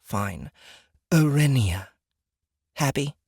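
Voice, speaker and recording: A woman's voice: very deep voice, animated, drawn-out words, vocal fry, darkish tone, breathy edge, no room sound, clean studio sound, full character voice. A woman playing a strict, plain-spoken mother in a fantasy audiobook, reproving and easily annoyed. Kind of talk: audiobook character acting.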